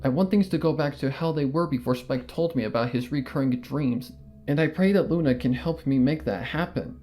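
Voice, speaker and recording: A man narrating a fan fiction story in the first person, in a measured, earnest storytelling manner. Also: a little high, mellow, faint music behind.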